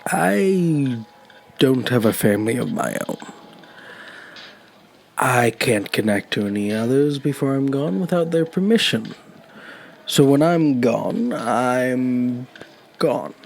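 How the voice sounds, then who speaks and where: An actor playing a lonely elderly man who was once homeless, with a hesitant, gentle, wry way of talking in an audio drama. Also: medium pitch, lively intonation, takes it slowly, gravelly, long pauses, slight background noise. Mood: thoughtful. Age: older. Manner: hesitant, reflective. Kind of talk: naturalistic audio drama dialogue.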